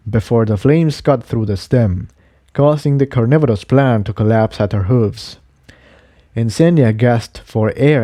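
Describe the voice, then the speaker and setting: Man, story narrator, scripted reading, mellow tone, dry sound. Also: mid-range voice, dry recording. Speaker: audiobook reader of fan fiction.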